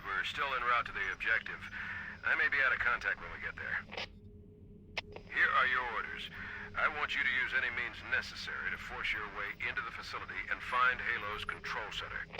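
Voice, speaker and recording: A man's voice: mid-range voice, brisk, sharp tone, a little hiss or hum, rough recording. A man playing a stern, resolute military captain over a radio in a video game. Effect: radio filter.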